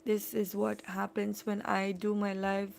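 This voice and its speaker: A woman's voice: medium pitch, monotone, measured pace, gravelly, slight background noise. A woman in her thirties from the Philippines, chatting casually with viewers on a live stream from home and answering their comments. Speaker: live-stream host, mother.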